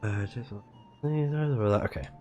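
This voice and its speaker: Someone streaming a game, slow easygoing pace, mid pitch, mutters and reads stuff out, soft music behind. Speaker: livestreamer playing a video game. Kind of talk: casual let's play commentary, reading text aloud. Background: video game music.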